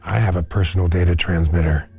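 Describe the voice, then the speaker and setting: A man's voice: deep voice, steady tone, fast, gravelly, warm tone, slightly rough. A man playing a tabletop role-playing game, speaking in character as a security officer. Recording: music behind the voice.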